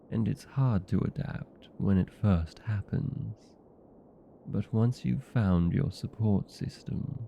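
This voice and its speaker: A courteous, proud old vampire played by a man speaks in a deep, creaky voice. He takes his time, with formal and genteel phrasing suited to a comedic audio roleplay. The sound is slightly dull and lo-fi. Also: slight background noise, heavily acted. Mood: earnest, reassuring.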